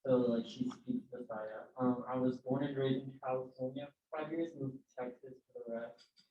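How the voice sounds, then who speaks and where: A man's voice: mid-range voice, fairly even intonation, normal speed. A young man, a university student, introducing himself casually and sharing thoughts with many fillers. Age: young adult. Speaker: student participant. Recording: distant, dull, roomy mic.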